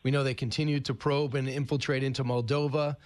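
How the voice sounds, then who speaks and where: A man's voice: medium pitch, level tone, a little fast, a little mellow. Conversational male radio interviewer. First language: English. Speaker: radio show host and interviewer. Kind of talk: live current-affairs interview.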